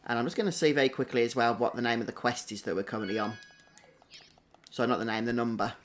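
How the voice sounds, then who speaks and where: A man's voice: mid-range voice, steady tone, fast. Man chatting over gameplay, some background noise. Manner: chatty, thinking aloud. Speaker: game streamer doing a walkthrough. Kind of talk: casual gameplay commentary.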